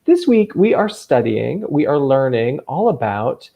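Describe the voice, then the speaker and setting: A man working as a camp counselor and host, chatting to children in an animated, enthusiastic, gushing way. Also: mid-range voice, unhurried, gravelly, muffled recording. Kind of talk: lively chatty hosting for children.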